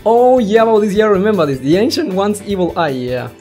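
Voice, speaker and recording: Male let's player, casual and rambling, animated pitch, slightly stumbling delivery, mild swearing, music behind. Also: brisk. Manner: rambling, stumbling over words, swearing mildly. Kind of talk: casual reaction commentary while reading a visual novel aloud.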